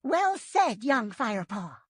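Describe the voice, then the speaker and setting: A stern old she-cat speaks with slow, commanding gruffness, as a woman reader puts her on. The voice sits high and swings widely, breathy and airy. It is recorded dry and close, like a storybook audiobook. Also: very animated, a bit bright, dry recording, exaggerated voice. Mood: approving.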